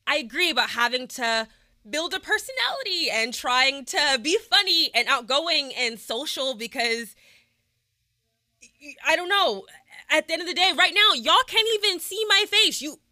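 A woman's voice: high voice, expressive, moderate pace, sharp tone, dry recording, studio quality. A young woman from Texas hosting a casual podcast chat, talking frankly with lots of fillers. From Texas, USA. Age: young adult. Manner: chatty and frank, with frequent fillers and laughs. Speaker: podcast host.

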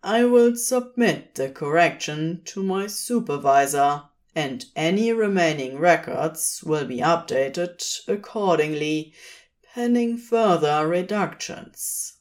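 A woman's voice: low voice, expressive, slow. A woman voicing an expressionless, solemn angel administrator in an audiobook-style story, intoning in stiff formal phrases.

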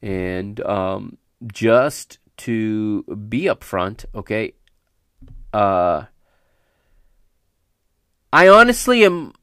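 Slightly raspy, emphatic male podcast host. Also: lowish voice, big pitch swings, bright, forceful tone, dry recording, clean studio sound. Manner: emphatic and halting, often checks in with "okay?". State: slightly raspy voice recovering from illness. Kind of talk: unscripted solo sports commentary.

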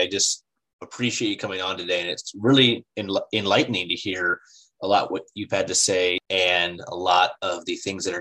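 A man's voice: deep voice, sharp tone, rough recording. A man hosting a podcast interview, speaking casually and affirmingly with many "you know"s. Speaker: podcast host.

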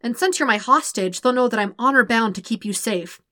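Young boy prince, played by a woman, earnest, awkward, formal, bright tone, dry recording. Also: a little high, a little fast, no room sound, voice pushed up. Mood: earnest, defensive. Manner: stiff, formal, self-justifying. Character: earnest, awkward, proud twelve-year-old exiled prince.

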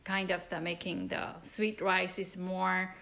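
Middle-aged lady, slow halting recipe talk, gravelly. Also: fairly low pitch, flat delivery, pushed and bright. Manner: halting, many fillers, nonnative grammar. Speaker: mother of a host, interviewed. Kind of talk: recorded interview explaining a recipe.

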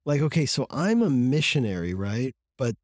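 A man's voice: mid-range voice, sing-song, moderate pace, dry recording, clean studio sound. Reflective man telling a story. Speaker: former missionary pastor. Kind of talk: podcast conversation.

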